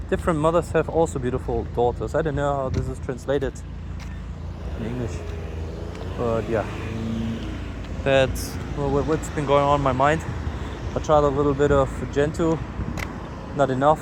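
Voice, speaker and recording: A sleep-deprived man with a German accent rambles frankly and casually into his phone while walking outdoors, swearing and putting himself down. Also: medium pitch. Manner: frank, swearing, self-deprecating. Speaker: vlogger. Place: outdoors, walking along a street. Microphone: phone recording while walking. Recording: outdoor street and traffic noise. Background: ambient outdoor noise with passing vehicles.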